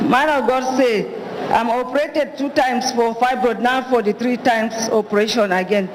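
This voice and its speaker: A woman from Adamawa State in Nigeria making a halting public plea in church. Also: fairly high pitch. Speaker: congregant pleading for prayer. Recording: reverberant hall, crowd and noise.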